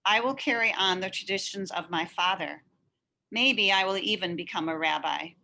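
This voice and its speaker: A woman's voice: medium pitch, normal speed, gravelly, bright, forceful tone, a little hiss or hum, rough recording. A woman voicing a determined, defiant girl in a storybook, speaking earnestly and resolutely. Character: determined, defiant girl who dreams of becoming rabbi.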